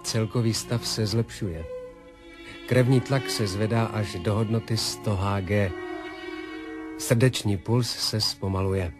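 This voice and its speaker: A low, detached man's voice, performed by an actor in a 1970s radio play, reports a surgery in dry, clinical terms. It sounds a little distant, with clear violin music playing behind. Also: fairly even intonation, pauses often, natural voice. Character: detached surgical narrator, adult man, clinical. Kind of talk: 1970s radio drama acting.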